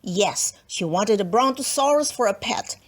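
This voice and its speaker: A woman's voice: mid-range voice, expressive, normal speed. A woman narrating a children's storybook expressively, with dramatic emphasis and playful asides. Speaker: storybook reader.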